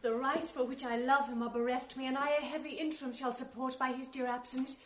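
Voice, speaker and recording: A woman's voice: sits high, level tone, a little fast, fairly bright tone, echoey room, slight background noise. A woman playing a steadfast, devoted young noble wife in a 1980s radio drama, speaking earnest, composed verse.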